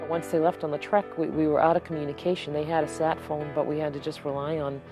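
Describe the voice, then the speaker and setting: A middle-aged American woman with a low voice recalls things in an unscripted interview, speaking as a parent. Music plays clearly behind her. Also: little pitch movement, fast.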